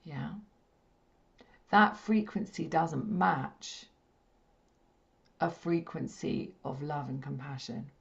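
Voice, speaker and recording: A woman with a slightly croaky, hoarse throat, leading a spiritual healing session in a gentle, repetitive, soothing way. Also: low-pitched, takes it slowly, long pauses. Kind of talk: slow meditative teaching talk. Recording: dull lo-fi stream audio.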